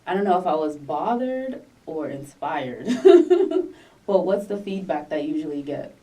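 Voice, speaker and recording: A woman hosting a podcast, chatty and quick to laugh as she interviews a guest. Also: low voice, very animated, mellow, distant mic, rough recording. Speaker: podcast host. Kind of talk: casual interview chat.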